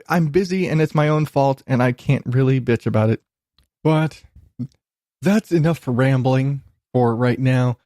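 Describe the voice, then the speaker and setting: A man's voice: medium pitch, vocal fry, warm tone, no echo, studio quality. A man hosting a solo podcast, talking casually and informally in a self-deprecating, sweary, rambling way. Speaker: podcast host.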